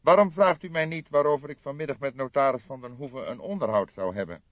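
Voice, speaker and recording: A stern, gloomy gentleman of middle age, as a man reads him in an audiobook. His pitch is raised and his delivery is solemn, formal and emphatic. The recording is dull and roomy. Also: fast talker, lightly acted. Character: stern, gloomy, formal middle-aged male friend of the deceased.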